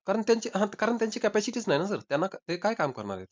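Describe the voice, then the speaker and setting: A man's voice: sits high, very animated, very fast. A man arguing insistently in an informal phone debate, often repeating himself. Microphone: recorded phone call. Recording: dull lo-fi call recording.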